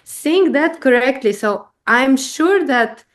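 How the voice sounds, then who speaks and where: Halting vocal coach woman, slow speech. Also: sits high, lively intonation. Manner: halting, many restarts, explains with examples. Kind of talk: conversational interview answers.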